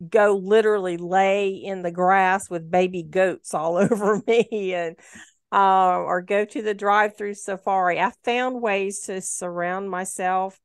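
Smooth-voiced woman in her sixties. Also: mid-range voice, no room sound, studio quality. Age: 60s. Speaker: guest sharing her story. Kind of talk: casual heartfelt conversation.

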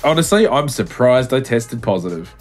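Lively laughing man, congested. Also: mid-range voice, moderate pace, soft background music. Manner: laughs often, swears, trails off mid-sentence. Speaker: podcast co-host. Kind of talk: casual chat. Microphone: remote call mic.

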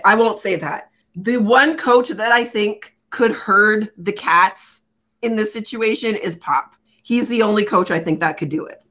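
A woman co-hosting a sports podcast, talking in an opinionated, rapid way full of rhetorical questions. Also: mid-range voice, fairly bright tone. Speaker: podcast co-host. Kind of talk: casual sports podcast chat.

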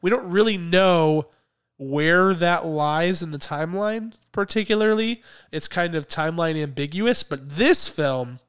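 A man co-hosting a podcast, chatting away and laughing often. His voice sits a bit high for a man and comes through smooth and clear, with a slight hoarseness from a cold. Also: unhurried. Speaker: podcast co-host. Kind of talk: casual podcast chat.

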